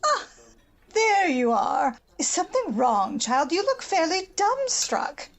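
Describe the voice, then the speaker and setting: Older snobbish American matron, radio drama, very animated pitch, gravelly creak, bossy, haughty, gushing. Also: female voice, slow, exaggerated voice. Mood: scolding, haughty. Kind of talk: old-time radio drama acting.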